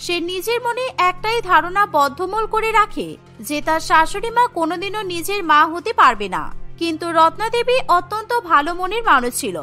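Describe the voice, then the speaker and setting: Lady narrator for cartoon stories, really high sharp voice, scripted read, light music behind. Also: lively intonation, a little fast.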